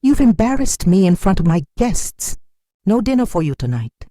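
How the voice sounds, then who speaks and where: A narrator acts out a harsh, bossy aunt from a storybook folktale. Her voice jumps high and swings widely as she scolds and finds fault in a sharp, domineering way. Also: very animated, normal speed, dry recording, clean studio sound, lightly acted. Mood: angry scolding.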